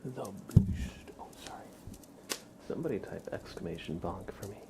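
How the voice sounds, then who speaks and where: A man softly whispering as an ASMR livestreamer, chatting affectionately as if to a pet. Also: low-pitched, animated, moderate pace, creaky, noisy background. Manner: affectionate baby-talk to a pet cat.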